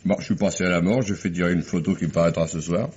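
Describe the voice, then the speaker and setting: A man plays a veteran-style police chief in a vintage radio mystery. His voice is low, his manner brisk and wry as he questions people. The recording is muffled, with some hiss. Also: fairly even intonation, a little mellow, lightly acted. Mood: wry, familiar. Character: wry, businesslike male police commissioner questioning witnesses. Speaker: actor. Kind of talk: 1950s French radio drama acting.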